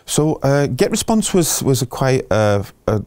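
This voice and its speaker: A middle-aged man telling stories of his career in a casual interview, animated and acting out the dialogue he quotes, with frequent restarts. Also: mid-range voice, measured pace, clear, resonant tone. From England. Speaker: guest.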